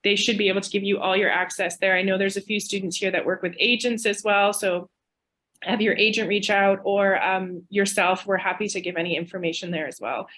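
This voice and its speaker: A woman's voice: medium pitch, fairly even intonation, brisk, a bit bright. Chatty woman losing her voice. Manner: chatty, apologetic, informal. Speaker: admissions officer. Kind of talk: webinar presentation. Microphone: video call.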